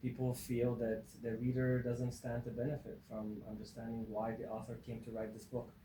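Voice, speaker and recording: A man's voice: medium pitch, monotone, moderate pace, reverberant, background noise. A man in his forties from Iran, a professor guest who talks in a wry, joking way with many fillers. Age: 40s. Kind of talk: interview conversation.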